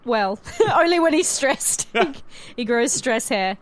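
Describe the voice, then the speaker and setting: A woman's voice: a little high, lively intonation, slow pace. A woman chatting casually on a podcast panel in a giggly, exclamatory, sweary way. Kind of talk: casual chat.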